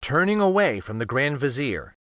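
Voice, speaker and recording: A man reading a children's picture book aloud in an expressive, deliberate, theatrical storytelling style. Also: medium pitch, dry recording, clean studio sound.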